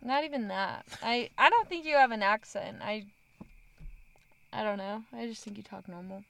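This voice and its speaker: A woman's voice: medium pitch, normal speed, vocal fry. A woman from Utah with a Utah accent, chatting casually and telling stories in a chipper, bubbly way. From Utah, USA. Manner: animated storyteller, laughs often, chipper and bubbly. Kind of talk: casual chat.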